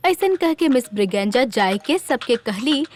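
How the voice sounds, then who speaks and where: A woman narrates a scripted cartoon story. Her voice is on the high side, with a Bhojpuri accent. Background music accompanies her. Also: big pitch swings, normal speed.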